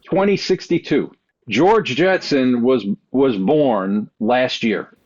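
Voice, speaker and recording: A man's voice: mid-range voice, animated, takes it slowly, a little mellow, no room sound. A man chatting casually as a podcast panelist, rambling along in a wry way. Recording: dull, dry podcast audio.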